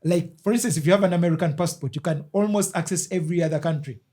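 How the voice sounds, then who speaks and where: A man rambling through a casual podcast chat, halting and restarting as he explains. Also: fairly high pitch, warm tone.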